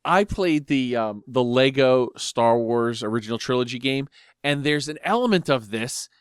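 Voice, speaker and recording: A man's voice: mid-range voice, expressive, moderate pace, clean tone, no echo, clean studio sound. A man co-hosting a show, chatting in an informal, jokey way as a fan. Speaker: podcast co-host. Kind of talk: casual fan banter.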